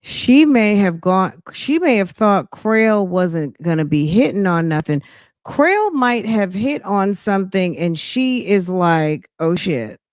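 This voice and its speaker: Female reaction-podcast host, slow delivery, dry sound. Sassy, slangy, casual and gossipy, speaks directly to the audience. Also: medium pitch, sing-song, dry recording.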